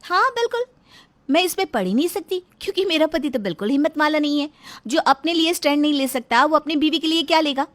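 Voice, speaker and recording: महिला द्वारा निभाई बेबाक जवान बहू, बहुत ऊँची आवाज़. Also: female voice, high voice, expressive, fast talker, a bit bright, voice pushed up. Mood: challenging. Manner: indignant, argumentative. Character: outspoken, bold young daughter-in-law. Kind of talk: acted dialogue in a moral story.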